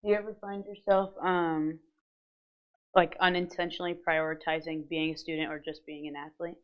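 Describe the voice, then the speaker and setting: A woman's voice: low-pitched, flat delivery, normal speed. Young woman host, giggly and teasing, kinda dull lo-fi sound. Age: young adult. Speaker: student host, teammate of the guest. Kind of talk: casual interview chat.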